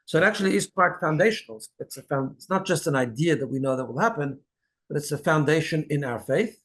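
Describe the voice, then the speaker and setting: A man's voice: a little high, animated, quick pace. A man speaking as a rabbi and co-host, teaching religion conversationally and explaining step by step.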